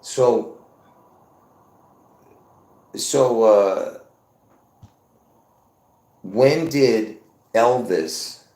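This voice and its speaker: A man in his fifties running a live stream, circling back and repeating phrases as he presses his point on viewers. His voice sits at an ordinary middle pitch for a man. The room gives everything a noticeable echo. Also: drawn-out words, pauses often, warm tone. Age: 50s. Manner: repetitive, insistent, addresses viewers as folks. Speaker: livestream host. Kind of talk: rambling live-stream monologue. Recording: roomy echo.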